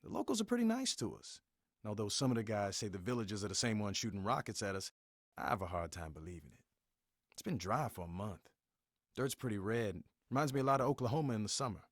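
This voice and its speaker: Low-voiced earnest young Marine. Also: male voice, big pitch swings, fast, no echo, clean studio sound. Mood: homesick, reassuring. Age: young adult. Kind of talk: reading a letter home, voice-over. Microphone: close, dry studio voice-over.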